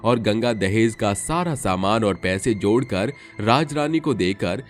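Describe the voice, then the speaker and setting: A man's voice: lowish voice, expressive, measured pace. A man reading scripted, dramatic storytelling narration. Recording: music under the voice.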